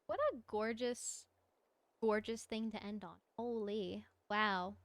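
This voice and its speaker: A woman chatting casually on a livestream as she plays, answering viewers in an expressive, encouraging, effusive way with a mezzo voice. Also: mid-range voice, slow, dry recording. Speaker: VTuber live streamer. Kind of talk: casual livestream chat while gaming, answering viewer comments.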